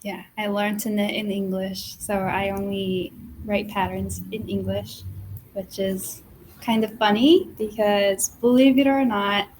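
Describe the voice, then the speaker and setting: A woman's voice: mid-range voice, fairly even intonation, measured pace, slight background noise, low-quality recording. A woman knitwear designer whose first language is Japanese, answering interview questions thoughtfully and modestly. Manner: thoughtful, modest, gentle laughs. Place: yarn shop with a small gathering.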